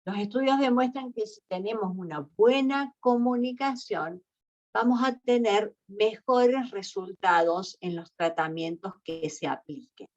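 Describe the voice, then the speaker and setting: Slow Argentine woman lecturing. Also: medium pitch, a little mellow, muffled recording. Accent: Argentine Spanish. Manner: slow and deliberate, with many hesitations. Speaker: researcher. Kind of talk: online lecture.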